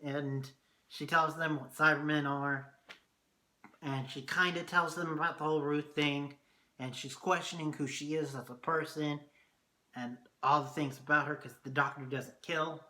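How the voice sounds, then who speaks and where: Hoarse, excitable man recapping a show. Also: a little high, moderate pace. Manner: excitable, swears often. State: hoarse, losing his voice. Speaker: TV review vlogger. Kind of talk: casual episode recap review.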